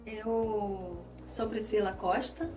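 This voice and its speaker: A woman's voice: medium pitch, steady tone, slow pace, soft background music, low-quality recording. A woman in her forties with a Brazilian Portuguese accent, a gospel singer sharing her testimony in an earnest, reflective way. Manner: soft-spoken, earnest, reflective. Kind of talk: personal testimony in an interview.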